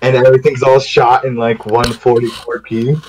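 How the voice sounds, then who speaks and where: A man's voice: medium pitch, level tone, moderate pace, darkish tone, poor audio. A man bantering casually and jokingly with friends during a group read-through of a visual novel. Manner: joking, riffing. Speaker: show participant voicing game characters. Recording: background noise with clicks.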